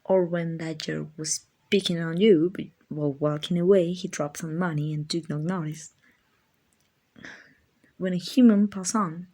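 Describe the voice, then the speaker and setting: A gentle guardian angel, acted by a woman for an ASMR comfort roleplay. The voice is breathy and a little low, and the words come slowly. The angel is doting and slightly clumsy, hesitant and apologetic, with plenty of affection.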